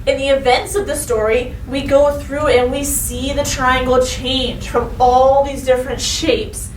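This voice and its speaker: A woman teacher reading a story and giving a lesson to children, expressive and instructive, speaking to them directly. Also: high-pitched, a little slow. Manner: expressive, instructive, addresses young listeners directly. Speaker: elementary school teacher. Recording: roomy echo, distant mic, faint noise.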